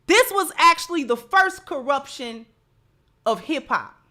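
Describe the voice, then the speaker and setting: A woman with a high, bright, forceful voice delivers livestream commentary. She speaks slowly and deliberately, leaning on repeated phrases for emphasis as she addresses her viewers. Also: expressive.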